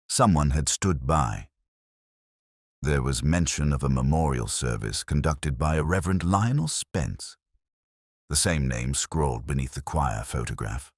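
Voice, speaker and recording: A man narrating a scripted first-person detective story in a measured, brooding, literary manner. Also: very deep voice, no echo, clean studio sound.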